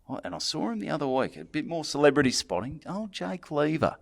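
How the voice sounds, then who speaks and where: A man's voice: a little high, very animated, fairly quick, creaky. A man with an Australian accent, co-hosting a podcast and telling a long rambling story in crude, swearing banter. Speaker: podcast co-host.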